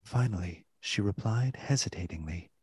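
A narrator with a husky voice, reading a literary tale as scripted narration in a radio play. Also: low voice, lively intonation, normal speed, a little mellow, no room sound, heavily acted. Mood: measured.

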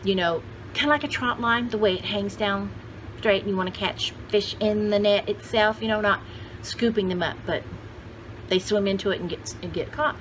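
Casting: chatty woman vlogger, 60s, average pitch, casual rambling delivery with folksy humor, recorded indoors with faint noise. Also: moderate pace, poor audio. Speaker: host of a vintage haul video channel. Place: indoor home studio room with shelves.

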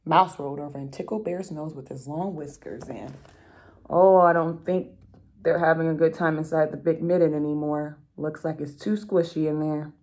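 A woman's voice: sits low, steady tone, normal speed, darkish tone. A young adult woman reading a picture book aloud to children, expressively, with chatty explanatory asides. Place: room at home, indoors.

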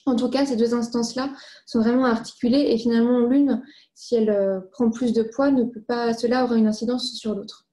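Woman, slightly high pitch, very mellow relaxed tone, formal presenter, explanatory, methodical. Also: brisk. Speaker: presenter of study findings.

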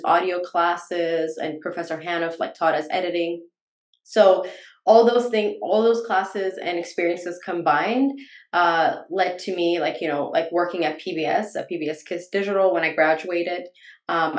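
A woman in her thirties from Ethiopia, a journalist answering interview questions on a video call, chatty with lots of ums and likes. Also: fairly low pitch. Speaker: journalist guest. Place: home room with bookshelves. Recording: roomy video call audio.

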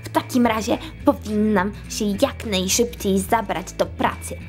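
A woman's voice: medium pitch, a little slow, a little mellow, faint music behind, close to an everyday voice. A woman voicing an eager, jumpy female Minion detective with a hesitant, stammering manner in a children's toy story. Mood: curious, helpful. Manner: hesitant, stammering, wide-eyed.

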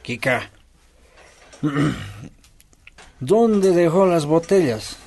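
A man's voice: a little high, lively intonation, drawn-out words, over music. A man playing a grumbling, sluggish husband in a radio soap.

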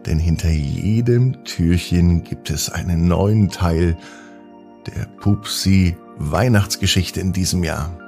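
A man hosting a children's podcast, narrating expressively and gently with drawn-out emphasis. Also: low-pitched, soft background music. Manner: gentle, drawn-out emphasis, addressing young listeners. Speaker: children's podcast host and storyteller.

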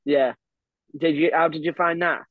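A man's voice: fairly high pitch, steady tone, normal speed, no room sound, phone-quality sound. A man co-hosting a podcast, bantering and teasing with frequent swearing. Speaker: podcast co-host. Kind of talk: casual chat over video call. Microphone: headphones and webcam on a video call.

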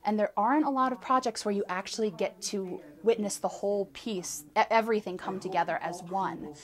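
A woman's voice: medium pitch, brisk. A woman voice actress giving a casual, conversational interview with some emphasis.

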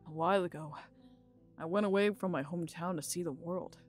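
A woman's voice: fairly low pitch, steady tone, a little fast, soft background music, natural voice. A woman voicing a friendly, reassuring young guardian who travels with the heroine in a fan audio drama.

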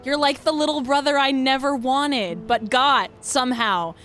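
A woman as a high-voiced, exasperated policewoman. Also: moderate pace, a bit bright, faint music behind. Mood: annoyed. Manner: curt, exasperated, sarcastic. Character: irritated, dismissive no-nonsense policewoman. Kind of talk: improvised comedy game fandub.

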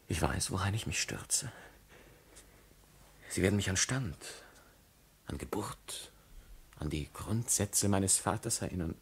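An actor playing a passionate, proud young aristocratic lover in a 1950s German radio play, with declamatory diction. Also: male voice, deep voice, gravelly, slightly rough, heavily acted. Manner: declamatory, impassioned stage diction.

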